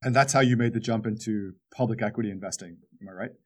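A man's voice: lowish voice, fast. A man hosting a podcast, putting prepared questions to his guest. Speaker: podcast host. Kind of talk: podcast interview.

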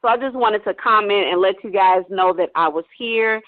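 A woman's voice: medium pitch, moderate pace, dry recording. A woman calling in, enthusiastic and affectionate in her praise. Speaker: caller. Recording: tinny, dry phone line.

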